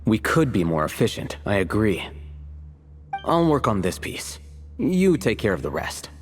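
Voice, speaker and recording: Lazy smug scout from a video game, low voice, dry teasing, music playing. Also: male voice. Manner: deadpan, unhurried, teasing. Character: laid-back, deadpan, smug male scout.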